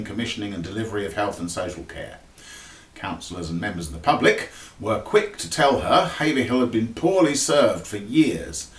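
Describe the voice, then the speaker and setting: Low-voiced British man reading news, roomy sound. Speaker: local news reader. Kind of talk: scripted news bulletin reading. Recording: roomy sound with faint background noise.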